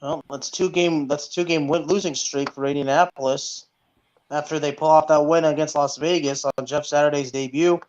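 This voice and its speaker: Higher-voiced man, dull lo-fi sound. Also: steady tone, normal speed, a little mellow, a little hiss or hum. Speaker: sports podcast co-host. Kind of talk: casual sports chat. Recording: dull lo-fi audio.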